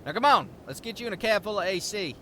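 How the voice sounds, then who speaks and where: An actor in an audio drama playing a blunt, good-natured truck driver with a folksy, wry way of talking. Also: male voice, sits high, animated, fast talker, bright, forceful tone, a little hiss or hum. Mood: friendly, brisk.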